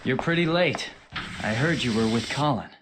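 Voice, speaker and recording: A man playing a composed, guarded young aristocrat in an anime audio drama, measured in delivery. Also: mid-range voice, animated, noisy background, lightly acted. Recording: loud music behind the voice.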